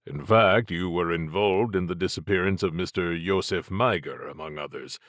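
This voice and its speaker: A man's voice: low-pitched, normal speed, a bit bright, no echo, clean studio sound. A male narrator voicing a blunt, accusatory investigator playing bad cop in an audiobook. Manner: pointed, accusatory. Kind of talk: audiobook character dialogue.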